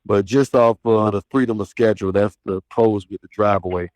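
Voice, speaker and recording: A man's voice: lowish voice, fairly even intonation, brisk, darkish tone, no echo. A man in his thirties, a truck driver, chatting casually and loosely as an interview guest. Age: 30s. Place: outdoors at a trick-or-treat event.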